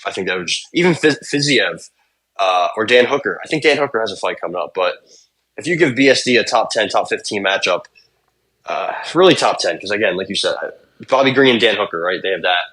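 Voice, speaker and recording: A man's voice: on the low side, animated, fairly quick, fairly bright tone, husky. A young man co-hosting a sports podcast, talking in a laid-back, rambling way and swearing casually. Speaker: podcast co-host.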